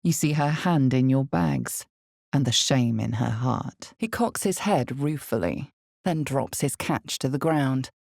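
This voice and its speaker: A woman playing the ominous, omniscient narrator of a dark fantasy video game, speaking in a measured, theatrical way with weighted pauses and a husky, gravelly voice. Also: extremely low pitch, expressive, dry recording, clean studio sound, heavily acted. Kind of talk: dramatic second-person scripted narration.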